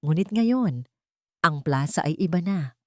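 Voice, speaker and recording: Animated woman narrating a dramatic story. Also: low-pitched, a little slow, no echo, studio quality.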